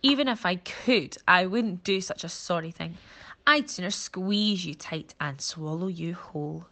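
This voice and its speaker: A woman's voice: lowish voice, measured pace, pushed and bright. A woman voicing a sneering, menacing python who talks with scorn. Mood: scornful.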